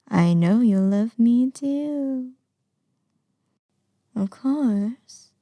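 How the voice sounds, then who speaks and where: A woman acting an obsessive, lovesick young kidnapper in an intimate ASMR roleplay, sweetly menacing with breathy murmurs and sighs. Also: fairly high pitch, dark tone, no room sound, studio quality, exaggerated voice.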